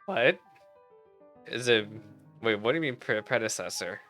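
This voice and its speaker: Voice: medium pitch, lively intonation, unhurried, a bit bright. A game streamer of unclear sex, rambling and exclaiming while reacting live to a story quest. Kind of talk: reading game dialogue aloud with excited live reactions. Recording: game music behind the voice. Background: video game music.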